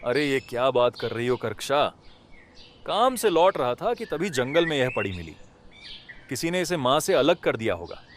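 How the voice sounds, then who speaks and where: Kindly poor villager for a cartoon, played by a man: mid pitch, very lively delivery, folksy and exclamatory. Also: moderate pace, a little hiss or hum, close to an everyday voice. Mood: pleading, indignant. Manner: exclamatory, rustic colloquial. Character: kind, tender-hearted poor village man. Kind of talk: animated moral story acting.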